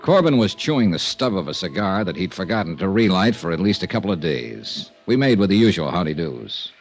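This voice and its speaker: An actor playing a wry, hard-boiled insurance investigator with an American accent in a 1950s radio detective drama. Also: male voice, low voice, very animated, brisk, full character voice. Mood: dry narrating. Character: male insurance investigator, wry, tough, sardonic noir detective. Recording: vintage radio recording with faint music.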